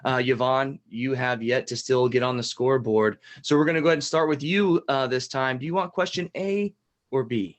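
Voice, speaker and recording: A man's voice: mid-range voice, a little fast, close to an everyday voice. A man playing a chatty, teasing game show host in an improvised comedy parody. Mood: brisk, presenting.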